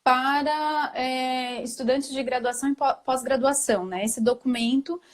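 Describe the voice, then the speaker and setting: A woman's voice: high voice, fairly even intonation, normal speed. A Brazilian woman teacher explaining things at length on a live video call, thoughtful, with many fillers and tag questions. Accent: Brazilian Portuguese. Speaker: Portuguese language teacher. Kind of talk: explaining in a live online talk.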